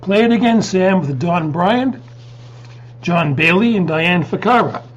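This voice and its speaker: A man's voice: sits high, animated, a little slow, slight background noise. A man acting as announcer, reading a scripted introduction to a stage scene, with dull sound.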